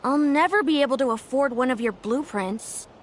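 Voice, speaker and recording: A woman voicing an eager, polite young child inventor in an anime-style game, earnest and excitable. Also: sits high, normal speed, slight background noise, natural voice. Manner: earnest, exclamatory.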